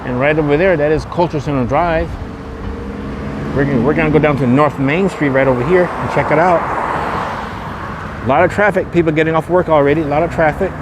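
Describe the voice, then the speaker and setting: A man with a slightly high voice hosts a walking vlog. He speaks in repetitive, promotional catchphrases. He is recorded outdoors on a street, with clear traffic noise behind him. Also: fairly quick, long pauses. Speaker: vlogger and host. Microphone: handheld camera. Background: traffic and vehicle noise.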